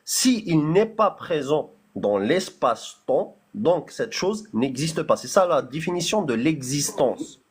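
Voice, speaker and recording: Man, non-native French, lively swooping pitch, husky voice, halting with many fillers. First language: not French. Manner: hesitant, many fillers, repeats words; insists on finishing, measured. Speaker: debater. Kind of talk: heated live debate.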